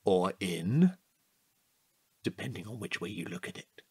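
A man acting a chatty, curious night-watch guard in a naturalistic audio drama, husky and halting in a playful way. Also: sits low, lively intonation, warm tone, no room sound, full character voice. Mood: musing, conspiratorial.

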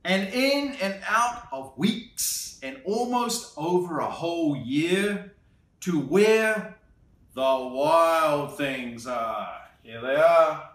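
A man in his thirties reading a picture book aloud, slow and expressive, with playful asides, seated in an armchair indoors. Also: a little high. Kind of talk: children's storytime read-aloud. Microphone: camera on a tripod a few feet away. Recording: roomy, slightly distant sound.

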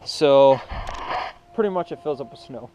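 A young man chats casually to the camera about his plans, drifting along with easy filler words. The sound is a bit dull, as from a small action camera, and steady noise sits clearly behind his voice. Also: mid-range voice, normal speed. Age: young adult. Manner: laid-back, rambling, lots of "so" and "yeah". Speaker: vlogger. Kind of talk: casual vlog narration. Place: garage, outdoors in snow, inside a truck.

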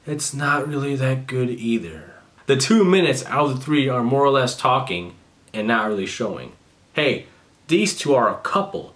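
A young man talks straight into his webcam from a small bedroom, his voice sitting at an ordinary mid pitch. He sounds sarcastic and mocking, and he swears freely as he runs through a casual commentary. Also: fairly bright tone. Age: young adult. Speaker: commentary youtuber.

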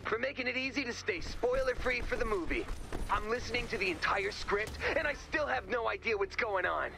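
A man voicing a naive, eager, easily impressed crewman in a video game, with a creaky voice. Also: very high voice, animated, a little fast, noisy background, exaggerated voice. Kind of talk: video game character voice acting.

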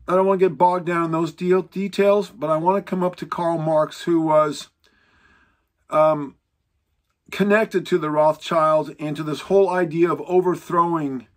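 A man in his sixties teaching to camera from a book-lined home study, emphatic and animated, stressing points by raising his voice. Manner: emphatic, pitch rising when stressing lists; animated, gesturing with both hands. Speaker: Bible teacher. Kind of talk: lecture-style teaching to camera. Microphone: seated close to a fixed camera.

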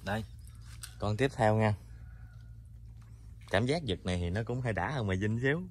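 A man with a Southern Vietnamese accent giving excited live commentary while fishing at a rural pond, repeating words as fish bite. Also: lowish voice, moderate pace, a little hiss or hum. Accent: Southern Vietnamese (Mekong Delta). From Mekong Delta, Vietnam. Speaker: fishing vlogger. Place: outdoors by a muddy pond in rural greenery. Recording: outdoor ambience with birds.

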